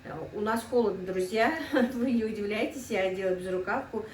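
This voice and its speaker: A woman's voice: medium pitch, a little fast, distant mic. A middle-aged woman chats away at her home cooking, talking straight to her viewers as friends. Her voice comes from a little way off the mic in an echoey kitchen, with a faint hum underneath. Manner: chatty, keeps calling the viewers friends. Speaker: cooking vlogger. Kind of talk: casual cooking vlog.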